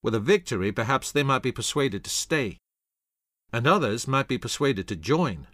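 A man voicing a confident young male artillery captain who speaks up loudly. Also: mid-range voice, animated, normal speed, no echo, studio quality. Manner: loud, assured.

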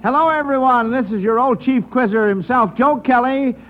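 High-voiced jovial old-time radio quizmaster. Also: male voice, normal speed, soft background music. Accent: American. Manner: jovial, folksy showmanship. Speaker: radio quiz show host. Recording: dull vintage radio broadcast.

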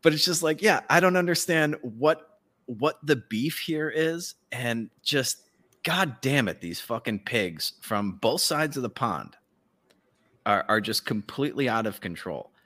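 A man's voice: mid-range voice, lively intonation, unhurried, pushed and bright. Irreverent, rambling man on a podcast. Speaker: podcast co-host. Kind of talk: casual comedic podcast banter.

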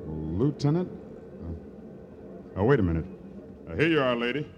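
An American actor playing an uneasy, evasive booth clerk in a 1950s radio crime drama, speaking in a hesitant, folksy way. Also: male voice, mid-range voice, very animated, a little slow. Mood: defensive, rueful. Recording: noisy, muffled vintage radio recording.

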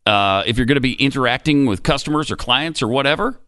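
A man's voice: medium pitch, quick pace, pushed and bright, clear, resonant tone, no echo, studio quality. A man co-hosting a radio talk show, taking callers and chatting casually with a wry, laughing manner.

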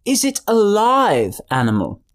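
A woman's voice: mid-range voice, huge pitch swings, a little slow. A woman playing a proper, prim lady party guest in an audio drama. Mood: curious.

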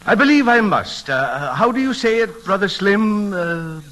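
An actor playing a mild, pious deacon feigning innocence, genteel and hesitant, in 1940s radio comedy style. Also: male voice, high-pitched, expressive, a little slow, a bit bright, faint music behind. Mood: meek, coy. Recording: vintage 1940s radio broadcast recording.

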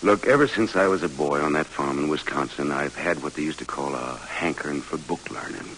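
Low-voiced troubled American veteran, hissy radio. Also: male voice, fairly bright tone, rough recording. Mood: anguished, searching. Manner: earnest, halting, self-reproaching. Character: troubled one-armed war veteran turned geology professor. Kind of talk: 1940s radio drama acting. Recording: hissy old radio broadcast.

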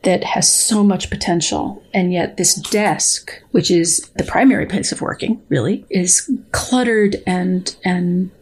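A woman speaking as a podcast guest, measured and thoughtful as she reads and comments. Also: fairly low pitch, a little mellow, a little hiss or hum. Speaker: guest biologist. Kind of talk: podcast conversation with dramatic readings.

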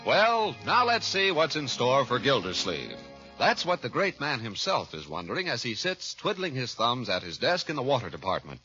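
A man's voice: mid-range voice, huge pitch swings, moderate pace, pushed and bright, soft background music. A man working as a 1940s radio announcer, reading adverts and scripted narration with polished, emphatic salesmanship. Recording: dull vintage radio recording.

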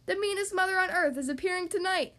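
A woman voicing an excitable young boy rabbit in a children's storybook, whiny and boastful in a dramatic, exclaiming manner. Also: sits high, fairly quick. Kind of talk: children's storybook read-aloud.

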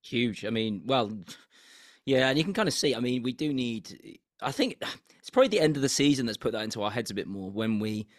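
Man, young adult, English accent, mid-pitched, strained squeaky voice, hesitant and repetitive, dry close mic. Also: expressive, no room sound. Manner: hesitant, repeats himself, measured. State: losing his voice, goes squeaky. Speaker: podcast co-host. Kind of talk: casual football chat.

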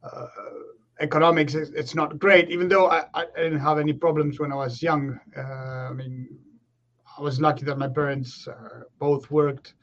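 A man, a guest on a remote interview who talks in a relaxed, reflective way with many fillers and restarts. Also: fairly high pitch, moderate pace. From Argentina. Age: 30s. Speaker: guest, professional rugby player. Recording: slightly dull lo-fi call audio.

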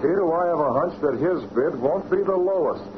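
A man playing a cool, shrewd newspaper boss and secret vigilante, with an American accent and measured, knowing authority in 1940s radio style. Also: on the high side, expressive, close to an everyday voice. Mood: relieved, scheming. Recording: muffled vintage radio, clear hiss.

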